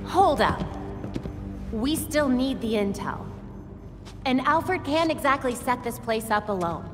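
A woman's voice: high voice, animated, slow pace. An actress playing a practical, focused young female vigilante in a video game cutscene, matter-of-fact in manner. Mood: firm. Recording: long hall reverb, loud music behind.

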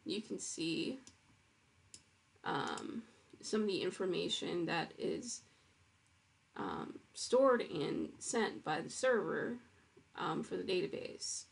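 A woman's voice: fairly low pitch, lively intonation, slow, vocal fry, pauses often, breathy edge. A woman walking through her own web project in a casual screen-recorded demo, explaining with frequent ums and restarts.

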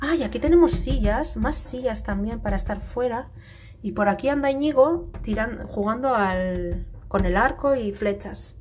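A woman's voice: a little high, moderate pace, slight background noise. A woman, chatting casually as she gives a house tour on a phone held at arm's length on an outdoor terrace. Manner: chatty, animated, cheering on her son. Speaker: family vlogger. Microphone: handheld phone at arm's length.

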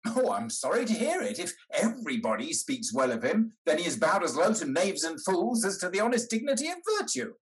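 A man acting a blunt, hearty old English gentleman in a period stage comedy, emphatic and wry. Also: high-pitched, sing-song, brisk, hardly any pauses. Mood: indignant, firm. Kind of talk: theatrical period comedy acting over video call. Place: indoors, on a video call.

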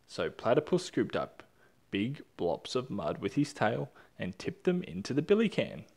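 A man reading a children's story aloud in an animated, expressive way. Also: medium pitch, normal speed, creaky. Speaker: storybook reader.

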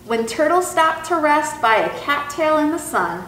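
A young woman reads a children's story in a high, very melodic voice. Her delivery is deliberate and sing-song. The room is echoey, with faint noise behind. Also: big pitch swings, a bit bright, recorded from a distance. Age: young adult. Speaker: zoo educator and storytime host. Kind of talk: expressive children's storybook reading. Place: indoor room, reading through a face mask. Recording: roomy sound with faint background noise.

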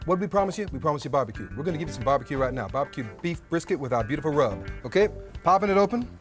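A man's voice: fairly high pitch, lively intonation, very fast, music in the background. A man in his thirties hosting a cooking show at an outdoor grill, chatty and joking as he cooks. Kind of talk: cooking demonstration.

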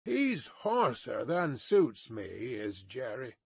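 A man voicing a gruff, suspicious armed coach guard in a storybook, with rustic old-fashioned speech and barked commands. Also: high-pitched, very animated, takes it slowly, fairly bright tone, dry recording, pitched above the performer's own voice, exaggerated voice. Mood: grumbling, suspicious. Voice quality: gruff, growling.

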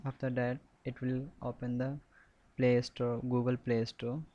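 Slow, flat-toned man giving a tutorial. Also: medium pitch, lo-fi sound. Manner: halting, repetitive, instructional. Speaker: software tutorial presenter. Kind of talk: step-by-step screen tutorial, unscripted.

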